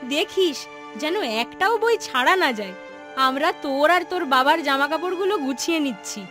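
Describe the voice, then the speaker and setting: A woman's voice: high-pitched, expressive, moderate pace, pushed and bright, soft background music. A woman voicing an anxious, scolding wife and mother of a poor household in a cartoon story, fretful and nagging. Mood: accepting, practical.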